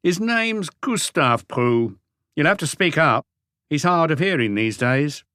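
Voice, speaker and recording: A man's voice: fairly high pitch, expressive, measured pace, bright, forceful tone, clear, resonant tone, no room sound, studio quality, pitched above the performer's own voice. A man voicing a self-satisfied, disdainful male suspect in his late twenties for an audiobook. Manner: smug, insistent.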